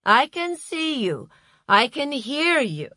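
A woman voicing a patronizing, serenely fanatical Russian female wellness guru in an audiobook, speaking with deliberate patience. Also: sits high, big pitch swings, pushed and bright, no echo, voice pushed up, full character voice. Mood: patronizing delight. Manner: slow, deliberate, patient condescension.

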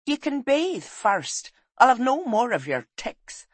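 Woman doing a high, feisty clanswoman wife. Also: very animated, moderate pace, husky, no room sound. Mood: scolding. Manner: feisty, scolding. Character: spirited, sharp-tongued clanswoman wife.

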